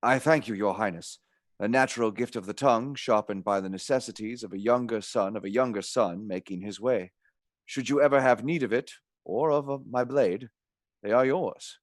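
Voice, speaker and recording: An actor playing a courteous, smooth-tongued knight who speaks formally in a radio play. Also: male voice, lowish voice, normal speed, no room sound, studio quality, close to an everyday voice.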